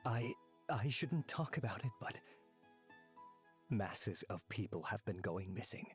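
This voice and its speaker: A man voicing a nervous, reluctant waiter in a fantasy visual novel, husky and breathy, speaking haltingly and hushed. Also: on the low side, expressive, faint music behind. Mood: nervous, grim.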